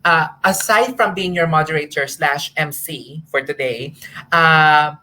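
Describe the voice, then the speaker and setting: A woman's voice: deep voice, unhurried, sharp tone, low-quality recording. A woman moderating a live online talk, earnest and careful, with many small fillers and restarts.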